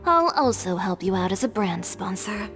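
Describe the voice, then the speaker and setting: A woman's voice: mid-range voice, sing-song, moderate pace, a bit bright. An actress playing a confident, decisive young woman sponsor in game dialogue. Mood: brisk. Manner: breezy, decisive, teasing. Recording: reverberant with music behind.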